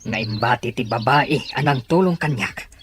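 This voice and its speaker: A man's voice: mid-range voice, moderate pace, lightly acted. A man playing a resolute tribesman thinking aloud in an amateur drama. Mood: brooding, determined. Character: resolute tribesman plotting to protect his people. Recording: noisy outdoor recording with birdsong.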